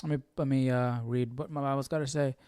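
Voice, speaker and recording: A man's voice: mid-range voice, steady tone, fast talker, crowd noise. A man hosting a casual Bible study, reading aloud and explaining in an informal way, stumbling and correcting himself. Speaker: Bible study livestream host.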